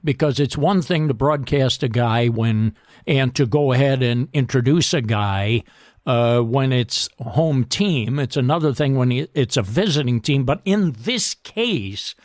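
Slow-talking radio sports host. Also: male voice, medium pitch, expressive, dry recording, clean studio sound. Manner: measured, courteous interviewer. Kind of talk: radio interview and sign-off.

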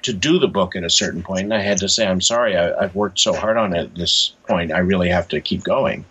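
A man's voice: low voice, a little fast, a bit bright, husky, muffled recording. A man speaking as an interview guest, reflective and hesitant, often restarting his phrases.

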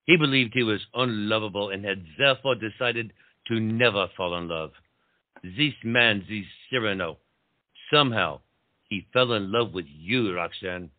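An earnest, commanding gentleman officer played by a man, deliberate and declamatory. The voice sits fairly low, in a dry, muffled recording of a period stage play. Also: dry recording, close to an everyday voice. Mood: earnest, pleading. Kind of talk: stage comedy acting.

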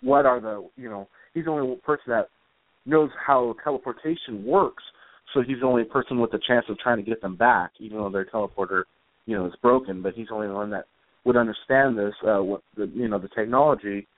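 Guy chatting casually in an interview, kinda halting and rambly, sounds like hes on a phone call. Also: mid-range voice, animated, dry recording. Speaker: guest, comic book author.

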